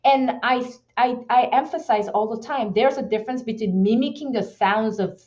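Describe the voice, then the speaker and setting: A woman's voice: fairly high pitch, moderate pace, darkish tone, dull audio. A woman with a Korean accent, a guest talking passionately in an interview, often restarting her sentences. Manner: emphatic, passionate, frequent restarts. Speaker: podcast guest. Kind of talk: casual interview talk.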